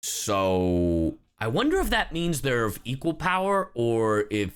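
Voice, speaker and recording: A man streaming live reaction commentary, casual and expressive, slangy and swearing freely. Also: mid-range voice, a little slow, clean tone. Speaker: streamer, host.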